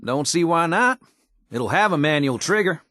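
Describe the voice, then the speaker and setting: Folksy american tinkerer dude in a video game, wisecracking slang, really animated pitch, dry studio sound. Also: male voice, moderate pace, dry recording. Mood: eager, persuasive. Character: folksy, wisecracking male tinkerer and scrappy settler ally. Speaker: video game character voice actor.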